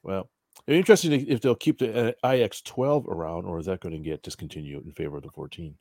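A man's voice: low voice, fast talker, a little mellow, no room sound, studio quality. A man co-hosting a casual podcast, moving the talk along and laughing easily. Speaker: podcast co-host. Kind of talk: casual hobby podcast chat.

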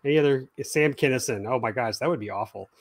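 A man's voice: medium pitch, fast. A man co-hosting a live podcast who talks in casual chat, laughs often and reads listener letters aloud. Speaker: podcast co-host.

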